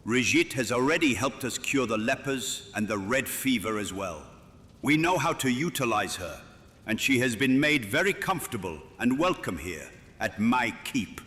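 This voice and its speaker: An actor playing a proud, pious medieval king in a fantasy audio drama, formal, measured and haughty. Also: mid-range voice, sing-song, a bit bright. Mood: defiant. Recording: long hall reverb.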